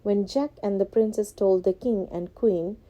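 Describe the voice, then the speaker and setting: A woman reading a scripted children's storybook as narrator, expressive and carefully phrased. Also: medium pitch, measured pace, low-quality recording.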